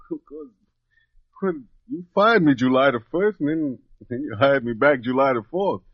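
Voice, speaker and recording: A man's voice: medium pitch, moderate pace, dry recording, natural voice. An actor in an old-time radio drama playing a humble, nervous country man, hesitant, stammering and deferential. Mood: hesitant, eager. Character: humble, nervous simple country man, polite male prisoner. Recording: muffled vintage radio recording.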